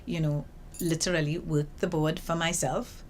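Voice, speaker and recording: An older woman from Trinidad and Tobago with a Trinidadian accent, telling her story in a lively way in an interview, seated in a small office. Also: low-pitched, moderate pace, slight background noise. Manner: lively storytelling, acts out quoted remarks. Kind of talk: reflective interview answers.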